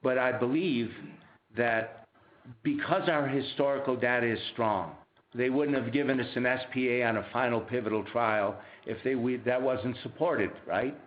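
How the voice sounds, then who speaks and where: A man answering questions as a company executive on a conference call, explaining in a halting way and rephrasing mid-sentence. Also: mid-range voice. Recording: muffled, roomy conference phone line.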